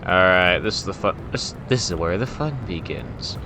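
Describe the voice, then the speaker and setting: A man's voice: deep voice, big pitch swings, moderate pace, vocal fry, background noise. A man doing casual, rambling gameplay commentary with informal, grumbling asides. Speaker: gamer commentating on own gameplay. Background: flight combat game sounds, explosions and music.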